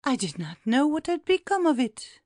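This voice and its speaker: A woman's voice: high-pitched, big pitch swings, a little mellow, no room sound. A woman playing a fretful, exclamatory elderly housekeeper in a dramatised classic audiobook. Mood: anxious. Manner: exclamatory, gasping, drawn-out lamenting.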